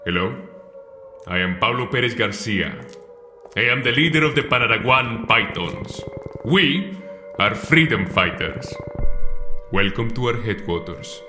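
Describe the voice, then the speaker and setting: An actor playing a deliberate, commanding guerrilla leader in an audio drama. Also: male voice, medium pitch, lively intonation, pushed and bright, reverberant, soft background music. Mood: menacing, composed. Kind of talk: theatrical audio drama acting.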